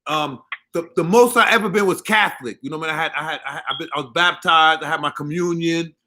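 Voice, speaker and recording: A man's voice: on the high side, fast talker, bright, forceful tone. A man with a Haitian accent hosting a live podcast, talking casually, emphatic and repeating himself for stress. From Haitian family. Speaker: podcast host.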